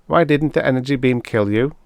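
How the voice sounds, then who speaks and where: A man's voice: mid-range voice, a little fast, vocal fry, no echo, clean studio sound, voice pushed up, lightly acted. A man voicing a servile alien captain, dutiful and deferential to his commander, in a pulp science fiction audiobook. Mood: obedient, deferential.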